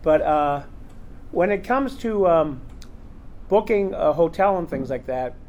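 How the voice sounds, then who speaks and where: A man's voice: a little high, expressive, unhurried, a little mellow, highs cut off. A man hosting a convention panel, talking casually and rambling with lots of fillers. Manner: rambling, chatty, full of fillers. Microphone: recorder in the room.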